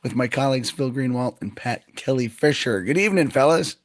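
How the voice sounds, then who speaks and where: Hoarse-voiced male podcast host. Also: medium pitch, lively intonation, brisk, no echo, studio quality. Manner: chatty, joking, loose phrasing. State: scratchy, hoarse voice. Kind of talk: casual interview chat.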